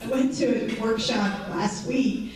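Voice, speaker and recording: A woman in her forties with a high voice, a counselor giving a conversational presentation to a patient group, peppered with um and right. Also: unhurried, a little mellow. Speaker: mental health counselor. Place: large echoey event room. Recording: echoey hall, distant mic, faint noise.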